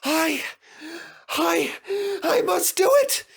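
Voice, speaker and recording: A man's voice: very high-pitched, drawn-out words, vocal fry, fairly bright tone, voice pushed up, heavily acted. A man voicing a plucky, easily indignant young steam engine in a children's storybook, exclaiming plaintively. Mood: straining, determined.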